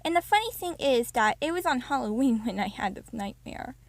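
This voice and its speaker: A woman's voice: fairly high pitch, lively intonation, a little fast, no room sound. A young woman telling a story to her channel casually, rambling and breaking off with fillers. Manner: rambling, self-interrupting with fillers. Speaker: YouTuber.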